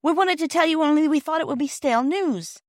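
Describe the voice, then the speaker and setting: A woman's voice: high voice, quick pace, a little mellow, dry recording, studio quality, pitched above the performer's own voice, natural voice. A woman voicing earnest, anxious children in a storybook, pleading with a grown-up. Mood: earnest, apologetic.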